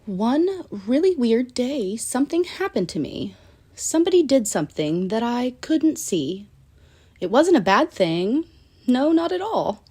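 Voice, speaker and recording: A woman reading a rhyming children's picture book aloud in an animated, sing-song storytelling manner with deliberate pauses. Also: on the high side, husky.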